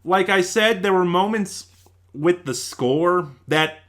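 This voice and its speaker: A man's voice: high voice, takes it slowly, a bit bright. A man giving a casual, unscripted review, thinking aloud and correcting himself as he rambles along. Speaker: YouTube audio drama reviewer.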